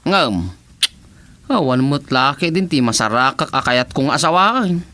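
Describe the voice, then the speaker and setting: An actor playing an excitable, pleading young nephew eager to marry, in an Ilocano radio comedy drama, with an Ilocano accent. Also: male voice, medium pitch, unhurried, no echo.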